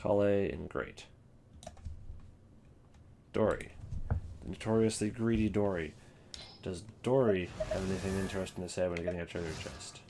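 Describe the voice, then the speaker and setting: Low-voiced man giving casual game commentary. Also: moderate pace, creaky, long pauses, mellow, slight background noise. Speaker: host of a game ranking video.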